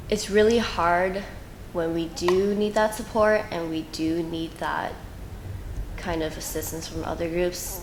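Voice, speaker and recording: A woman's voice: fairly low pitch, slight background noise. Earnest young woman in an echoey room. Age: young adult. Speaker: scholarship finalist. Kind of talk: interview answers.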